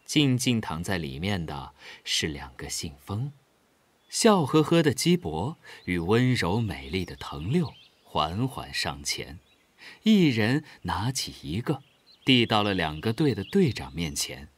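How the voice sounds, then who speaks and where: A man's voice: low-pitched, big pitch swings, a little slow, slightly rough. A man narrating a scripted children's story expressively.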